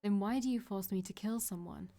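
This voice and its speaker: A woman's voice: medium pitch, a little fast, dark tone, soft background music, close to an everyday voice. A woman voicing a desperate, broken young woman who pleads through tears. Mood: pleading. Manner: pleading, tearful.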